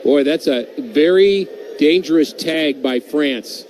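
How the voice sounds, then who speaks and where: A man who played the game, giving relaxed, conversational color commentary on a radio baseball broadcast. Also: on the high side, takes it slowly, slight background noise, rough recording.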